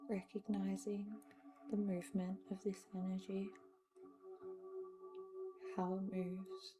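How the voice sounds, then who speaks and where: A woman leading a guided meditation in a soft, soothing style, speaking in short, separated phrases with long pauses. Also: mid-range voice, flat delivery. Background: meditation music with singing bowls.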